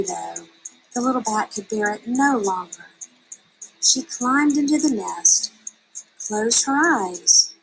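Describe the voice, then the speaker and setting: Slow, expressive woman reading a children's story. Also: medium pitch, rough recording. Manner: unhurried, dramatic delivery with drawn-out words. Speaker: storybook reader.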